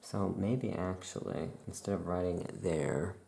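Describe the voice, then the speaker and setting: A performer voicing a chatty, musing necromancer witch shopkeeper in a soft-spoken ASMR roleplay, with writing sounds behind. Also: deep voice, measured pace, creaky. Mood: relaxed, musing.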